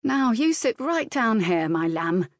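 A woman's voice: fairly high pitch, very animated, no echo, clean studio sound, voice pushed up. A woman doing a bossy old nursemaid. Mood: soothing. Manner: brisk, bossy rustic speech. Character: bustling, motherly older female servant.

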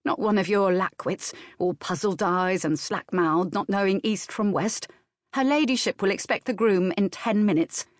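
A woman voicing a motherly, brisk older servant woman with old-fashioned rustic speech. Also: mid-range voice, no echo, studio quality, lightly acted. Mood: sharp.

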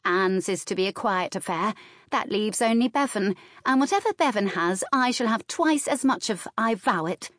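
A self-assured girl of twelve, put on by a woman narrator, boastful and airy. The voice is raised in pitch, in a dry historical audiobook recording. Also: lively intonation, normal speed, fairly bright tone, no room sound, lightly acted. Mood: smug, triumphant. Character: airy, boastful twelve-year-old girl, youngest sister.

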